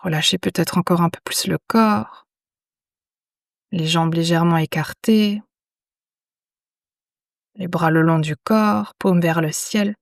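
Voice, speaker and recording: Very slow, low-voiced woman guiding meditation. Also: creaky, no room sound, clean studio sound. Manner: soothing, unhurried, with long pauses. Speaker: yoga nidra guide. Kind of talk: guided meditation.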